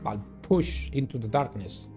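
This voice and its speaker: A man's voice: medium pitch, animated, unhurried, darkish tone. A man hosting a game playthrough, reading a visual novel aloud and adding casual commentary. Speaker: video game player and host. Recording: music playing behind the voice. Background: background music.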